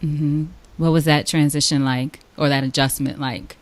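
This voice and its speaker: A woman's voice: sits low, steady tone, normal speed, no echo. A woman hosting an interview, asking questions and affirming the guest often with mm-hmms. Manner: warm affirming, frequent mm-hmms. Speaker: podcast host.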